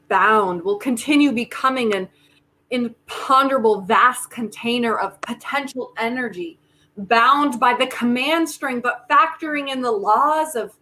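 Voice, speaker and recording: A woman playing a grave, conflicted human who holds apocalyptic power, speaking deliberately and philosophically in a play reading. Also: a little high, a bit bright, rough recording. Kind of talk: virtual staged play reading.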